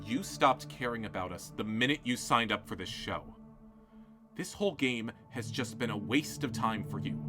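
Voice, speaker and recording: A man's voice: medium pitch, natural voice. A man doing Servine, a vicious furious mom. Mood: cold. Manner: profane, cutting, explodes into shouting. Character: furious vindictive female contestant, a mother. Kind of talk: fan reality-show parody drama.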